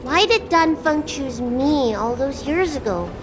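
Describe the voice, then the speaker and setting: High, cheeky little dragon girl. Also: animated, unhurried, background noise. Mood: wry. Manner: blunt, curious, playful. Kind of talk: video game voice acting.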